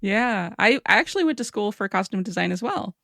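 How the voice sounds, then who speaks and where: Wry, relaxed woman host. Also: mid-range voice, fairly quick, gravelly, no room sound, clean studio sound. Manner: relaxed, wry, laughs often. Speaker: podcast host. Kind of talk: casual interview chat.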